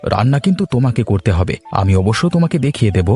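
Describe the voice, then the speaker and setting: A mellow, quick male voice acted out as a proud, poor cartoon husband. He is earnest, resolute and reassuring, over faint music. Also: on the low side, sing-song. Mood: earnest, planning. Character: proud, hopeful poor husband planning a new business. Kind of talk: cartoon dialogue acting.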